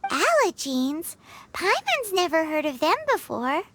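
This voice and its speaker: An actress voicing a tiny, excitable fairy companion girl who chirps and speaks of herself in the third person. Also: very high-pitched, unhurried, dry recording, heavily acted. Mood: curious. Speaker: voice actor in a game dub.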